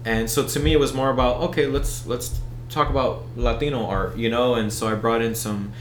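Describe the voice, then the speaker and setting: A man's voice: medium pitch, fairly even intonation, a little fast, roomy sound, soft background music. A man with an American accent, talking casually as an interview guest and circling back through his sentences. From United States. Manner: rambling, many restarts and fillers. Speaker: event organizer, guest.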